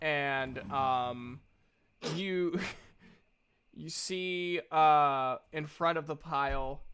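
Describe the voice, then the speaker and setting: A game master improvising narration for a tabletop game in an informal, halting manner. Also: mid-range voice, fairly bright tone, smooth.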